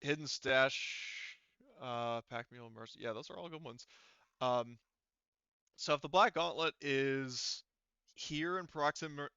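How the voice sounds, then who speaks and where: Bright-voiced man running a tabletop game. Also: mid-range voice, slow, clear, resonant tone, no room sound, dull audio. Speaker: game master. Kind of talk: casual tabletop game narration.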